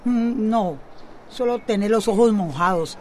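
A woman's voice: medium pitch, a little slow, dark tone, slight background noise, close to an everyday voice. An actress with a Costa Rican accent playing a nosy, motherly, easily shocked neighbour in a radio soap opera. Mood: prying, knowing. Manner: inquisitive, teasing.

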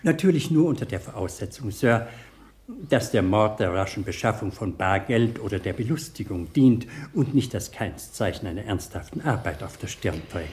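An actor playing an ironic, deadpan butler in a radio play. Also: male voice, lowish voice, normal speed, close to an everyday voice. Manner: dry, deadpan, sardonic. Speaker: George.

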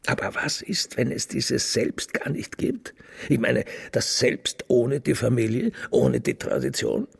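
An actor playing a bitter, domineering old father in a radio play, brooding and rhetorical, with a husky, gravelly voice. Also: medium pitch, lively intonation, unhurried, heavily acted. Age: older. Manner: brooding, rhetorical, repeating himself.

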